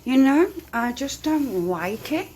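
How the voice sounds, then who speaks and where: A woman's voice: high-pitched, sing-song, slow, a little mellow, a little hiss or hum. A woman from near Liverpool with a light Liverpool accent, giving rambling, candid true crime commentary. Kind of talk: casual commentary.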